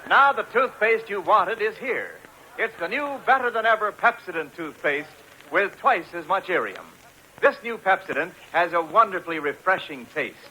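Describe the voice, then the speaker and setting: A man's voice: high-pitched, expressive, moderate pace, bright, forceful tone, poor audio. An American man reading a 1940s radio advertisement as an emphatic, salesman-like announcer on an old broadcast. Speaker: commercial announcer.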